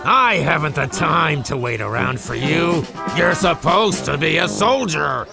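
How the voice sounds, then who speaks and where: A bombastic game army general with a creaky, raised voice. He commands and blusters like an impatient drill instructor, his pitch leaping around. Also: lively intonation, measured pace, music in the background, exaggerated voice. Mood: bellowing, stern. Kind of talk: video game voice acting, barked drill speeches.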